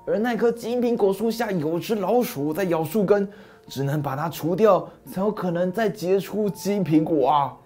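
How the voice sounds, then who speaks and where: A man voicing a weary male devil who talks quietly and whines for sleep. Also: sits high, normal speed, soft background music. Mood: weary whining. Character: sleepy grumbling male devil, later greedy king.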